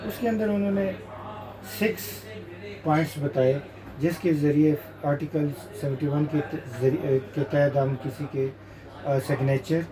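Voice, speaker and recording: A man's voice: on the high side, rough recording. A man with a Pakistani accent explaining law as a lawyer, informally and methodically, point by point. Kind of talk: informal spoken legal explanation.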